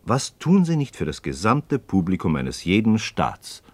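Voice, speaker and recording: A man's voice: deep voice, wildly swooping pitch, measured pace, dry recording, exaggerated voice. An actor playing an inquisitive, skeptical man in a radio play, speaking articulate, rhetorical in philosophical dialogue. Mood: eager, ironic.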